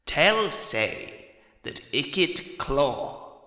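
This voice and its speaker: A man voicing a menacing, boastful ratman warlock in a fantasy story, declaiming pompously and deliberately. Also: a little high, sing-song, pushed and bright, full character voice. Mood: boastful menace. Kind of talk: fantasy audiobook character acting. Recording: echoey hall with long reverb.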